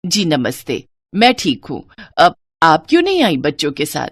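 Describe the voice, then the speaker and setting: A woman's voice: low-pitched, huge pitch swings, moderate pace, no room sound, studio quality, pitched below the performer's own voice, full character voice. A woman voicing a caring, fussing middle-aged mother of grown daughters in a moral story, earnest in manner. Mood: polite. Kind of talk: Hindi moral story character acting.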